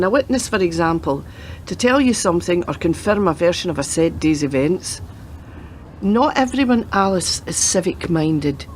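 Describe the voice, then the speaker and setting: Woman doing old gran ex detective in a radio play, wary n gently scolding, slightly low voice, noisy background. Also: natural voice. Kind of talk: scripted radio play acting. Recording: community radio drama with clear background noise.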